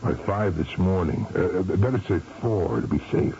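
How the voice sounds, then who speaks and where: A man's voice: low-pitched, expressive, normal speed. An American actor playing a hard-boiled, menacing gunman in a 1970s radio thriller, curt, slangy and sardonic. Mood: terse. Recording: dull, noisy old radio recording.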